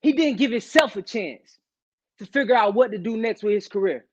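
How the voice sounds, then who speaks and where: Man performing high-pitched, impassioned grieving monologue. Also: animated, normal speed. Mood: pained, earnest. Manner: emphatic, earnest, high-energy delivery. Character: grieving, impassioned man mourning a friend's lost ambition. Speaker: auditioning actor. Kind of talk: dramatic monologue. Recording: dull lo-fi online call.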